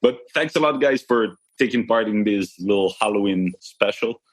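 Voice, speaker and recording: A man's voice: fairly low pitch, animated, moderate pace. A man co-hosting a casual podcast who asks guests questions and teases his co-host.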